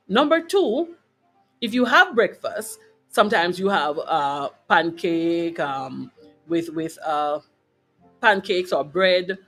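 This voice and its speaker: A woman with a Jamaican accent hosting a wellness broadcast, talking informally and emphatically to her online audience and checking in with short tag questions. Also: on the low side. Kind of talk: informal motivational health talk to a live online audience.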